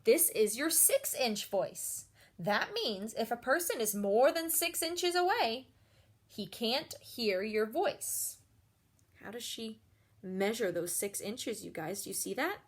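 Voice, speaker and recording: Woman storyteller, slow unhurried pace, lively intonation, encouraging teacher manner, questions to children. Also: on the high side. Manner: expressive, encouraging, asks listeners questions. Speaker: read-aloud storyteller and teacher. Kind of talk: children's picture book read-aloud with asides.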